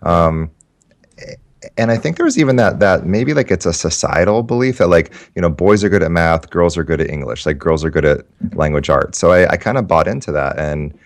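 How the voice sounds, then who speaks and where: A man's voice: sits low, lively intonation, fairly quick. A man in his thirties whose first language was Japanese, a guest writer telling his story in a loose, rambling way with many restarts. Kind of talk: casual interview talk.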